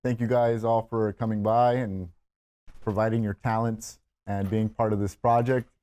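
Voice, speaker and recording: A man's voice: lowish voice, level tone, normal speed, a little mellow. A man hosting a table read, welcoming the group and narrating screenplay stage directions.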